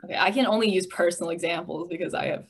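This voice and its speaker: A young woman with an American accent, chatting casually and thinking aloud in a halting, giggly way. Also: fairly low pitch, husky. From United States. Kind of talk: casual chat.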